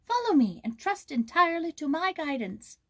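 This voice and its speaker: A woman's voice: high voice, sing-song, moderate pace, a bit bright, voice pushed up. A woman voicing a tiny, eager firefly guide from a storybook, urgent and reassuring.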